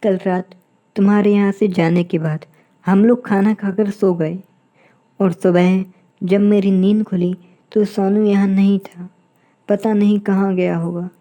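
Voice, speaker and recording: A woman acts out a worried mother goat in a cartoon fable. Her tone is dark and soft, full of maternal fretting. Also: medium pitch, steady tone, a little slow, muffled recording, close to an everyday voice. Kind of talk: Hindi moral-story cartoon dubbing.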